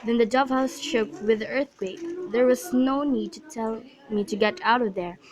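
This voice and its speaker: A woman's voice: on the high side, fairly even intonation, moderate pace, lightly acted. A woman playing a fond, bawdy old nurse in an amateur radio drama, chatty and rambling as she repeats herself. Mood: reminiscing. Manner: chatty, repetitive storytelling.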